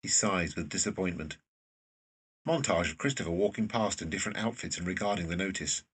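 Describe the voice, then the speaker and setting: A man narrates scripted scene directions in a fairly low, husky voice. He sounds a little way off from the microphone. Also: brisk, a bit bright, far from the mic.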